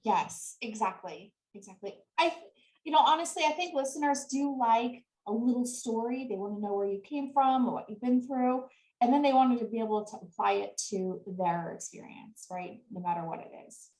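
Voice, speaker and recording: Woman, sales coach, higher voice, earnest, echoey room. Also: moderate pace, darkish tone. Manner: earnest, laughs easily. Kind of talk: interview answers.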